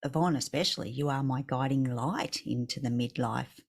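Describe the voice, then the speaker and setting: A woman's voice: rumbling low, normal speed. A woman in her forties leading a casual video call chat, effusive and laughing often. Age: 40s. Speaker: business mastermind leader.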